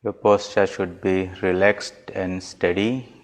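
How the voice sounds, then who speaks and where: A man with a low voice guides a meditation as a swami. His delivery is very slow and even, with little rise or fall in pitch. He phrases each instruction calmly and deliberately. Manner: calm, measured, deliberate phrasing.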